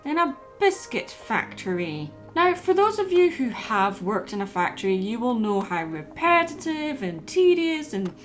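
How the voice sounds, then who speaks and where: A woman narrating a scripted personal video essay in a measured, reflective, explanatory way. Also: on the high side, very animated, soft background music.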